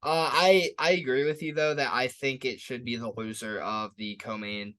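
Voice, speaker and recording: A man's voice: medium pitch, steady tone, moderate pace, pushed and bright. A man co-hosting a podcast, chatting casually about upcoming fights in a hyped, emphatic way that leans on repetition. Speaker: podcast co-host. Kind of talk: casual sports podcast chat, fight preview.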